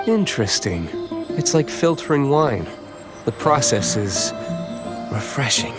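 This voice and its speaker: An actor playing an aloof, wry young nobleman with a dry, unhurried delivery in an anime video game. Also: medium pitch, big pitch swings. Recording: music behind the voice.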